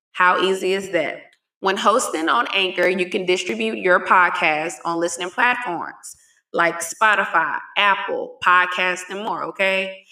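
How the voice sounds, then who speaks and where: A woman doing a casual, chatty sponsor read for a podcast, spelling things out slowly. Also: mid-range voice, sharp tone. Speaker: podcast ad reader. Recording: echoey room, distant from the mic.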